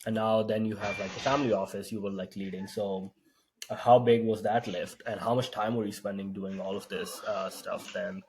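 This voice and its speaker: Low-pitched male interviewer, hesitant filler-heavy questions, faint noise behind. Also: steady tone, normal speed, darkish tone, poor audio. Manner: rambling questions full of "like" and "uh". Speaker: podcast host.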